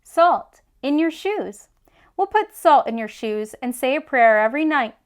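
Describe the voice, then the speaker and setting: A woman's voice: high voice, expressive, a little slow, smooth. A woman voicing a kind, firm, reassuring mother in a storybook. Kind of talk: storybook character voice.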